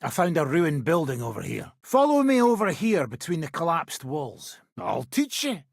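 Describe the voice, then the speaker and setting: An actor playing an impatient, seasoned mercenary swordsman with a rough, gravelly voice, giving blunt, commanding instruction in a medieval video game. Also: on the high side, big pitch swings, moderate pace, dry recording, clean studio sound. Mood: gruff, casual. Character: gruff, impatient seasoned male mercenary swordsman teaching combat. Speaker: video game character, sword-fighting trainer.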